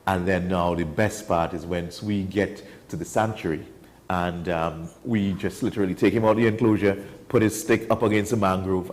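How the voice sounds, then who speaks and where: Mellow, low-voiced Trinidadian man. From Trinidad and Tobago. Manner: enthusiastic, storytelling. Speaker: wildlife sanctuary guest. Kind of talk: casual studio chat. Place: TV studio.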